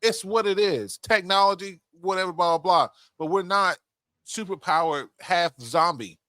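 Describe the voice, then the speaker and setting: A man's voice: sits high, moderate pace, pushed and bright, no echo. A young adult man co-hosting a podcast, giving opinions in casual talk and swearing freely. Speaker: podcast co-host. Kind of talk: casual film discussion.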